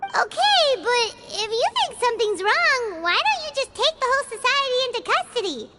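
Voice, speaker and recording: A woman's voice: very high-pitched, very animated, normal speed. A woman voicing a small, bubbly female fairy companion in a game who is pushy and talks about herself in the third person. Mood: inquisitive. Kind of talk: game voice acting. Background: game music.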